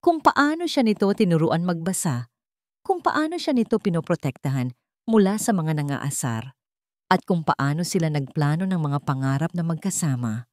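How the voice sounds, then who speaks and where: Low-pitched woman narrating a romance story. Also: animated, moderate pace, no room sound, clean studio sound.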